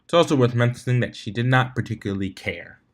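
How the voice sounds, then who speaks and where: A man's voice: medium pitch, fast. Male story narrator, mid-range voice, reading from a script with occasional stumbles and retakes. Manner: stumbles over words and rereads them. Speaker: fan fiction reader, narrator.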